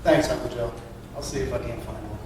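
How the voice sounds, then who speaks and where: A man's voice: mid-range voice, steady tone, fast talker, lightly acted. A man reading the part of an earnest, troubled veteran down on his luck at a script table read. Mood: subdued, grateful. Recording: echoey room, distant mic, faint noise.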